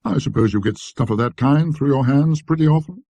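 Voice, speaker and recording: A man voicing a haughty, fussy gentleman collector with a clipped, ironic, bossy manner, in a storybook reading. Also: mid-range voice, animated, a little fast, no room sound, voice pushed up. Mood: ironic, haggling.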